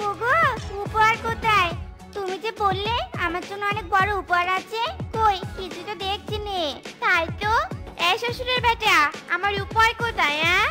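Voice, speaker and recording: Casting: fiery cartoon animal wife, childlike high pitch, shrill scolding, over loud music. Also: female voice, normal speed. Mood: demanding. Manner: scolding, threatening. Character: bossy, hot-tempered cartoon animal wife. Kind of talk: cartoon story acting.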